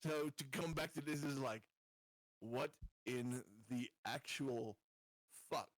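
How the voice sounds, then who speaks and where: A man playing a tabletop game, improvising his character's thoughts in a halting, blunt, casual way. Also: medium pitch, no room sound, poor audio.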